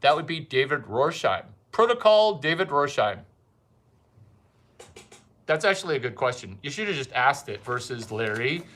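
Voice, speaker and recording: A man doing a rambling comic monologue, provocative and fond of repeating his catchphrases with drawn-out emphasis. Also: mid-range voice, very animated, a bit bright. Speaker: comedian host.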